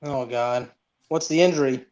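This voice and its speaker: A man's voice: mid-range voice, moderate pace, darkish tone. A man co-hosting a sports podcast, chatting casually about football over lo-fi audio.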